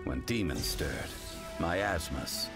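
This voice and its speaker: A grave male storyteller narrates fantasy game lore in a very low voice. He is slow and portentous, over loud, prominent music. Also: expressive, vocal fry, full character voice. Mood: grave, ominous. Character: solemn male storyteller narrating an ancient dark legend.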